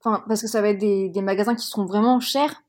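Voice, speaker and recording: A woman's voice: mid-range voice, steady tone, quick pace, darkish tone. A young woman from Madagascar, interviewed in a casual talk, speaking frankly and heatedly with many fillers and restarts. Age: young adult. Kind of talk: casual conversation.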